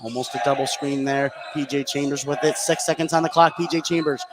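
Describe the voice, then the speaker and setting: A man's voice: fairly high pitch, fairly even intonation, fairly quick. An American man doing live play-by-play commentary on a basketball game. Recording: clear gym noise behind voice. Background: sports venue ambience.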